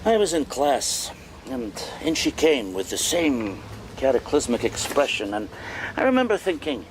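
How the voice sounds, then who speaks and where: An actor playing a middle-aged professor in a radio drama, telling stories in a wry, deliberate, theatrical way. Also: male voice, mid-range voice, sing-song, slight background noise, close to an everyday voice, poor audio. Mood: solemn. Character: wry, donnish male history professor, older mentor.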